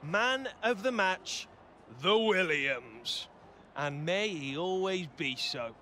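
An actor playing a cheeky, cocky young footballer in a video game cutscene, with an English accent and a casual, chatty manner. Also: male voice, high voice, lively intonation, slow pace, bright, forceful tone, a little hiss or hum, full character voice. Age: 20s. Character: cheeky, cocky young male footballer, good-natured underneath. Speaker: professional footballer.

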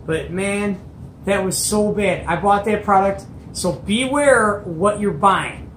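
A middle-aged man telling a casual story to camera as host, in an animated, emphatic, colloquial way. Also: high-pitched, slow. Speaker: host of a CB radio show. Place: small indoor hobby radio room. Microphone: camera or phone at arm's length. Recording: roomy echo, background noise.

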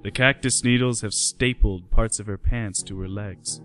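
A man with a fairly low voice narrates a radio play from a script. Music plays behind him. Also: fairly even intonation, normal speed, fairly bright tone.

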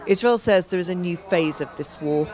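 A woman's voice: fairly low pitch, moderate pace. A woman delivering a scripted television news report as a correspondent. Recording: noisy street crowd and traffic. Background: crowd and vehicles.

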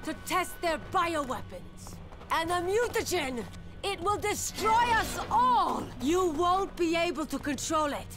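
A woman's voice: very high-pitched, expressive, unhurried, music in the background. An actress playing a desperate, fatalistic mutated woman in a zombie game, speaking in a grave, dramatic, emphatic way. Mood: anguished, urgent. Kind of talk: video game cutscene acting.

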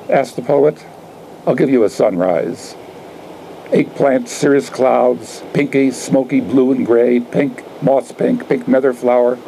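An elderly man reads his poems aloud to a microphone in a garden. His voice is dark and relaxed, with a middle pitch. He places short phrases deliberately, in a declamatory way, over light ambient noise. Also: vocal fry, rough recording. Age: older, 70s. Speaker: poet reading own work. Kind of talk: live poetry reading with asides. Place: outdoor garden reading. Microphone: microphone on a stand.

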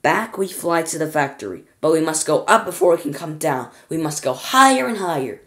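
Reader acting an excitable, exclamatory eccentric inventor. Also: medium pitch, brisk, natural voice. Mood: excited, hurried. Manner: exclamatory, grandly polite. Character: excitable, hurried, boastful eccentric male chocolate-maker inventor.

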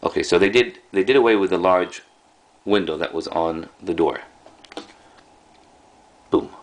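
A man casually showing items as a video host, speaking in halting, offhand short phrases. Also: low voice. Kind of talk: casual product showcase. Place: indoors in a room at home. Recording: clearly noisy home recording.

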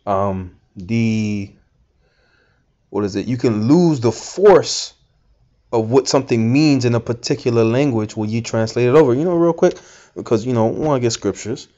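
A man's voice: mid-range voice, normal speed, mellow, lo-fi sound. A man giving informal scripture teaching, rambling with many fillers and restarts. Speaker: Bible teacher.

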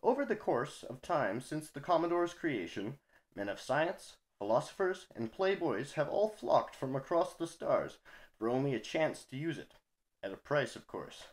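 A man's voice: on the high side, very animated, normal speed. A young man reading his own short story aloud in a small wood-paneled room, with dry, emphatic storytelling, recorded on a webcam close by.

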